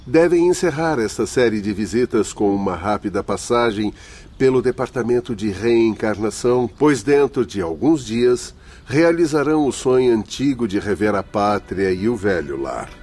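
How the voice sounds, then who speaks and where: A man's voice: fairly low pitch, expressive, normal speed, darkish tone. A man with a Brazilian accent playing a learned, kindly spirit doctor in a radio drama, explaining things formally. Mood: kindly advising. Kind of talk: Portuguese-language radio drama acting.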